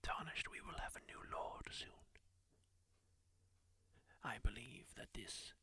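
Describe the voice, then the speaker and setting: A performer whispering in character as a kindly masked healer, slow and hushed, in an ASMR roleplay. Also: mid-range voice, natural voice. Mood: soothing. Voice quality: whispered, gravelly and breathy. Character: kindly masked healer tending a wounded wanderer.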